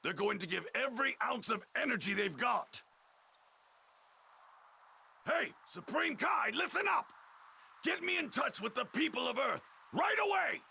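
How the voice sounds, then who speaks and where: Gruff, gravelly, proud warrior. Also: male voice, extremely high pitch, big pitch swings, measured pace, pauses often, bright, forceful tone, very echoey, raised voice, a little hiss or hum, full character voice. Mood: stern, impatient. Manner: commanding, blunt, impatient. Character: proud, gruff, imperious male alien warrior, barking orders. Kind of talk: English dub of an anime video game cutscene.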